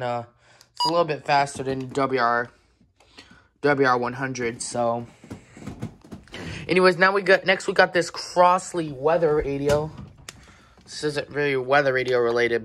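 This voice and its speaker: Casual, halting speaker with background noise. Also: medium pitch, a bit bright. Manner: informal, halting, off-the-cuff. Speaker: collector presenting weather radios. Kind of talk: casual show-and-tell vlog. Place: indoors in a home room. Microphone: handheld phone camera. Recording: noisy handheld recording with clicks.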